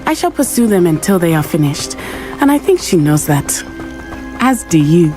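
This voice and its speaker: A woman's voice: on the low side, sing-song, mellow. A woman with a Southern African accent playing an earnest, determined teenage witch in a video game cutscene, speaking formally over music. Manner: formal, measured, resolute wording. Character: earnest, determined teenage girl witch, grieving her father. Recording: music under the voice.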